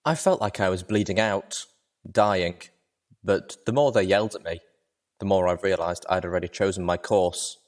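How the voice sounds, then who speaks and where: A man's voice: low-pitched, moderate pace. A man reading a story aloud as narrator, in a dramatic first-person style.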